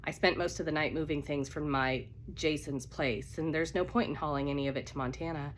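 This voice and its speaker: A woman's voice: deep voice, fairly even intonation, brisk, a little hiss or hum, natural voice. A woman with a cold voicing an anxious, stubborn jilted bride in a romance novel reading. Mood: weary, defensive. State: congested with a cold.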